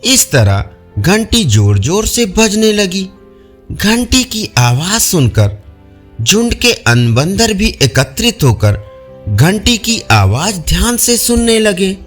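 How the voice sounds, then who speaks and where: A man narrating folk stories in a measured, expressive reading style. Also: high-pitched, soft background music. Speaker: storyteller. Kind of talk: scripted audio-story narration.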